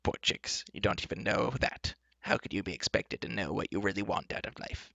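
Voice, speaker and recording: A man voicing a plump, cheerfully menacing old enchantress from a storybook, coaxing and indulgent as she calmly threatens. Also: fairly low pitch, fairly quick, vocal fry, dry recording, heavily acted. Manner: kindly, coaxing, matter-of-fact about threats. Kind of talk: storybook character reading.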